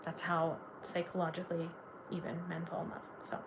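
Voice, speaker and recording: A woman's voice: fairly low pitch, monotone, brisk, slight background noise, poor audio. A woman from Canada giving a live tarot reading, chatty and gushing, full of "like" fillers. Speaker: tarot reader.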